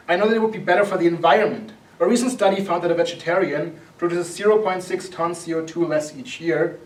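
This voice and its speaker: A young man opens an academic conference, speaking earnestly in a fairly high voice. He quotes scholars in a lecturing way. He sounds distant from the mic in an echoey lecture hall. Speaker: conference organizer. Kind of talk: opening remarks at an academic conference.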